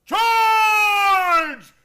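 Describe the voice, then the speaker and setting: A man's voice: drawn-out words, very noisy, full character voice. An actor playing a cheerful, deluded man who thinks he is a president and colonel, barking military commands in old-time radio comedy style. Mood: charging, excited. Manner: booming military commands, hearty exclamations.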